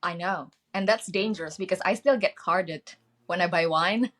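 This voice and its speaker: A woman's voice: fairly low pitch, moderate pace, a bit bright. A woman in her late thirties hosting a podcast, curious and teasing, with many listening noises. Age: 30s. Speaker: podcast host. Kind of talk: casual interview chat.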